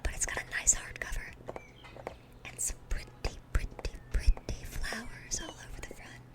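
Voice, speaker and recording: A woman's voice: moderate pace, natural voice. Woman playing a whispering dorky sweet demon girl. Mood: earnest, enthusiastic. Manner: gentle, rambling, earnest, affectionate. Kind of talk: whispered ASMR roleplay.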